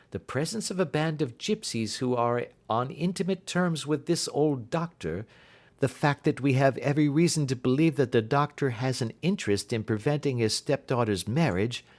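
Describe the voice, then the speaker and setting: Audiobook sleuth, played by a male narrator: raised pitch, very animated contours, precise, bland and imperturbable. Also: natural voice. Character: cool, keen, unflappable male detective. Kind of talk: audiobook character acting.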